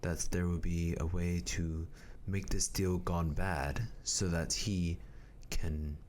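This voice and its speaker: A man's voice: deep voice, steady tone, slow, a little mellow, a little hiss or hum. A man narrating a scripted radio drama as its storyteller.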